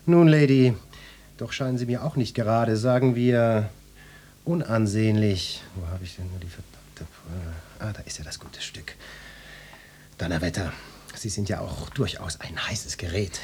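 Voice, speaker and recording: An actor playing a brash, lecherous police detective in a German radio drama, gruff and bossy with pompous flirtatious flourishes. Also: male voice, on the low side, fairly even intonation, moderate pace, darkish tone, a little hiss or hum, lightly acted. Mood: leering, flirtatious. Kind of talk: German radio drama acting, parodic hard-boiled cop.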